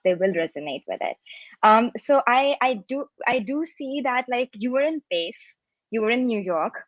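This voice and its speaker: A woman's voice: mid-range voice, no room sound. A young woman in her twenties hosting a panel interview on a video call, friendly, with some "um" and "like". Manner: friendly, scripted introductions, uses "um" and "like". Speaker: host, panel moderator. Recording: dry muffled video call audio.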